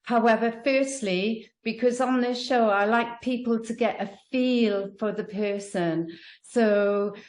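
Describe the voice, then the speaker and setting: A woman hosting a podcast, introducing her guest in a measured way. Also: on the high side, darkish tone. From Australia. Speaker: podcast host. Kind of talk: podcast interview. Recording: echoey room.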